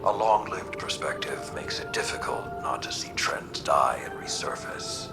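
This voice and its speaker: A man playing a grave, battle-worn mentor who talks bluntly in measured, hushed tones in a video game. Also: rumbling low, animated, vocal fry, in a whisper, over loud music, heavily acted. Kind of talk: scripted game dialogue.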